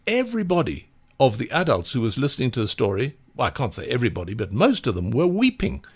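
A male radio host with a low, gravelly voice that rises and falls a great deal. He talks in a conversational, reflective way as he introduces music and looks back on the guest's answers. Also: wildly swooping pitch, fast. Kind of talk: radio interview hosting.

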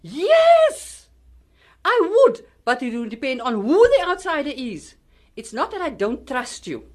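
Woman playing a harried Cape Town mom, high voice. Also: wildly swooping pitch, unhurried, a little mellow, heavily acted. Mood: stern, exasperated. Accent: South African, Cape Town. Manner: exasperated, fussing. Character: harried, strict, fretful middle-aged mother. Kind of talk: amateur radio drama acting.

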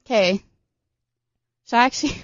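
An eighteen-year-old woman with a slightly raspy voice, chatting as a guest in a radio studio, giggly and self-deprecating. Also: on the high side, moderate pace, no echo. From South Africa, of Portuguese family. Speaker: guest singer. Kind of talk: casual radio chat. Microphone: studio microphone. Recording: dull, dry studio sound.

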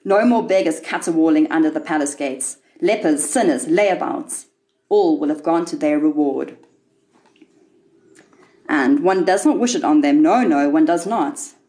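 Woman reading literary fiction, lowish voice. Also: unhurried, dark tone, rough recording. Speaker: author reading own short story. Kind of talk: scripted literary narration.

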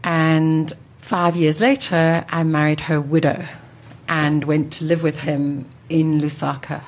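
A woman in her sixties with a low voice speaks as an interview guest. She tells personal stories in an articulate, reflective way, pausing to think as she goes. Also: level tone. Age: 60s.

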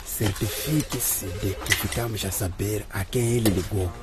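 A man playing a stern, commanding police officer in a radio drama, speaking in a measured, authoritative way. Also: lowish voice, very noisy, lightly acted. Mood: stern, controlled.

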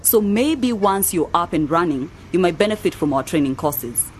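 A brisk, authoritative woman official in a radio drama, played by an actress. Her voice is on the low side, with faint street ambience behind it. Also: animated, a little hiss or hum, close to an everyday voice. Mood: official, explaining. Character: brisk, authoritative woman director of a press council. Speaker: actor in an educational radio drama. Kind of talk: scripted radio drama dialogue.

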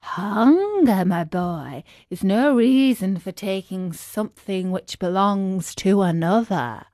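Woman as a slow, pitying little glowworm. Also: medium pitch, animated, dry recording, studio quality. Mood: gently scolding. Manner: gentle, moralizing. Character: pitying, gently scolding little female glowworm. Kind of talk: children's storybook character voice.

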